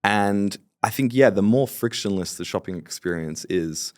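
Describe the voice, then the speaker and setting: A man's voice: lowish voice, lively intonation, moderate pace, no echo, studio quality. A man in his late twenties, a podcast guest talking confidently and agreeably about his business. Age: 20s. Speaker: podcast guest, fulfillment company founder. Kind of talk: casual interview talk.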